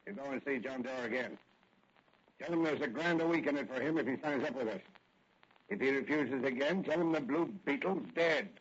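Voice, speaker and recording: An actor playing a cold, sardonic, commanding racketeer chief in a 1940s radio crime serial. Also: male voice, medium pitch, a little fast, breathy edge, natural voice. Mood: threatening, commanding. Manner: mock-polite, commanding, theatrical. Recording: muffled old 1940 radio recording.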